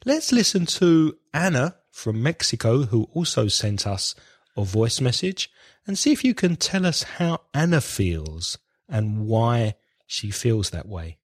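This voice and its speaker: A man co-hosting an English-learning podcast, explaining vocabulary clearly while blocked up with a cold. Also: mid-range voice, sing-song, a little slow. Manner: clear, explanatory, spells out words. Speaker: podcast co-host, English teacher. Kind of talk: teaching podcast chat.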